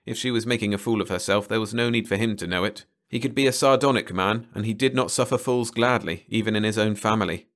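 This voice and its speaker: A man's voice: fairly low pitch, fairly bright tone. A man reading a short story aloud as a scripted bedtime audiobook narration.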